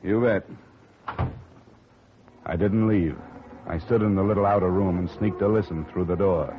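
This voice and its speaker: A man's voice: low-pitched, steady tone, normal speed, slight background noise, close to an everyday voice. A man playing a wry insurance investigator and narrator in a 1950 radio drama, laconic with dry wit. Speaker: narrator and lead detective. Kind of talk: 1940s radio acting. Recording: dull 1950 radio recording with music.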